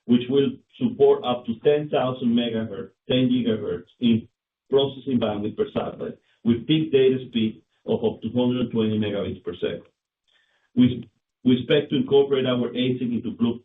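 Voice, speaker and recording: A male company executive reading scripted financial results on an earnings call in a formal, somewhat halting manner. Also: mid-range voice, far from the mic. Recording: muffled phone line, roomy, clicks, noise.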